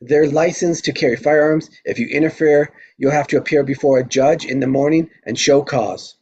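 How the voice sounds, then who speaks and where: A man plays a family attorney in a live script read. His voice sits a bit high and sounds dull and lo-fi. He is firm, formal and assertive. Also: steady tone, moderate pace, a little mellow, husky.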